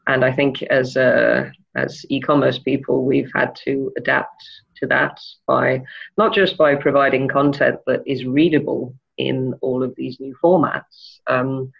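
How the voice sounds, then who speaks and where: A woman from Denmark giving thoughtful interview answers, pausing often with ums and uhs. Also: extremely low pitch, fairly even intonation, vocal fry, husky, lo-fi sound. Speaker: interview guest, e-commerce manager.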